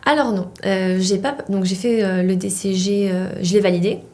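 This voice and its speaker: A woman's voice: mid-range voice, fairly even intonation, moderate pace, dark tone. Young woman, hesitant interview guest. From Poland. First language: Polish. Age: young adult. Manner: hesitant, many fillers and restarts. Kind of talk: casual interview.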